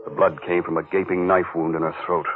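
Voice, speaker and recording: An actor playing a steady, earnest man who takes charge in an old-time radio mystery. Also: sits low, a little fast, over music, close to an everyday voice. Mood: grim. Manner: earnest, firm, take-charge. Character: steady, commanding man in love, leading a murder investigation. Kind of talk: old-time radio mystery acting, also narrating in the first person. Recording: muffled, narrow-band vintage radio recording.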